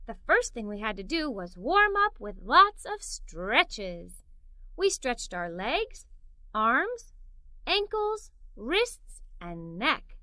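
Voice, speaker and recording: Bright, high-pitched woman reading a children's story. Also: slow pace, no echo. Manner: expressive, performs the characters' dialogue. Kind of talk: animated read-aloud storytelling for children.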